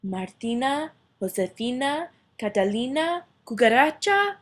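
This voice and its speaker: A wise old grandmother cockroach from a storybook, voiced by a woman. She coaxes and encourages with a firm hand. Her speech is unhurried. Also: high voice, animated, pitched above the performer's own voice. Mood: commanding.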